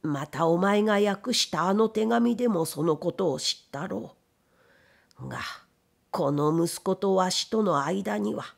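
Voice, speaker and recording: A woman's voice: mid-range voice, unhurried, vocal fry. A woman reading the part of a proud, bitter, grieving old blind factory owner in a storybook, with weary old-fashioned speech. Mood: grieving, wounded. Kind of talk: dramatic literary reading.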